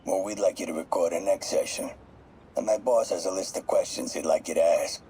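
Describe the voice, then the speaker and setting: A man's voice: low voice, fairly quick, warm tone, a little hiss or hum, natural voice, low-quality recording. An actor playing a cold, menacing mob enforcer in a video game, blunt and controlled as he makes threats. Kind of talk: video game voice acting, dramatic dialogue.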